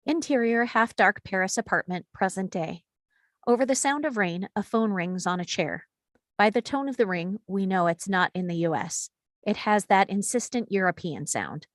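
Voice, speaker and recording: Woman, stage-direction reader, scripted screenplay narration, medium pitch, dry close sound. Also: no echo.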